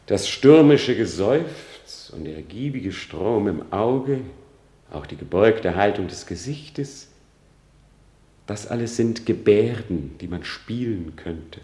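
Grieving young prince, bitter, brooding, slow deliberate verse delivery, slightly low voice, radio drama. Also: male voice. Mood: bitter, restrained. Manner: deliberate, declamatory, swinging between hushed and outbursts. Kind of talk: classical German stage-verse radio drama acting.

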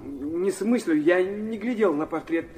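A man's voice: high voice, measured pace, darkish tone, slight background noise. An actor playing a proud, touchy young man in a radio play, earnest, abrupt and stiffly formal. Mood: awkward, defiant. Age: young adult. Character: proud, touchy, earnest youth of about twenty.

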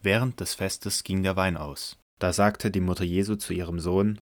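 Deep male bible narrator, measured n solemn, very dry studio sound. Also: fairly even intonation, dry recording. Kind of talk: scripted scripture narration for audio drama.

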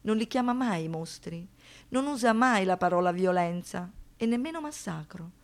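A woman narrating a radio play in a measured, deliberate, scripted documentary manner. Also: mid-range voice, lively intonation.

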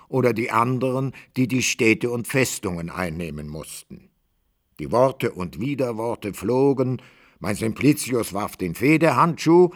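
A man narrating a literary radio play as a deliberate, rhetorical storyteller. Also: fairly low pitch.

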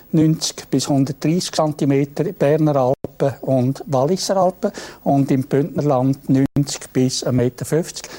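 A man's voice: mid-range voice, dark tone. A man delivering a weather and snow report. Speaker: weather forecaster.

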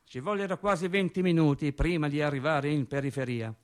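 An actor playing a working-class man telling wry, naive childhood memories in a theatrical radio monologue, with dramatic pauses. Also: on the high side, natural voice. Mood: wry reminiscing. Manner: animated storyteller, dramatic pauses and emphatic swings. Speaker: stage actor.

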